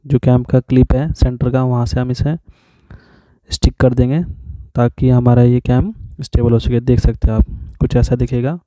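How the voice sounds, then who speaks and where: A man's voice: mid-range voice, little pitch movement, moderate pace, mellow, no room sound. Chatty Indian man vlogging outdoors. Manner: chatty, repeats "so doston", shows each step. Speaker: camping vlogger. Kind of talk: casual how-to vlog. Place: open hillside meadow outdoors.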